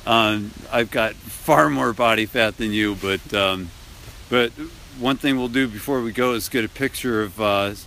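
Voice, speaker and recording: Man podcast host, low voice, casual, outdoors, faint traffic. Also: a little slow, sharp tone, low-quality recording. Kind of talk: casual interview chat. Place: outdoors in the cold.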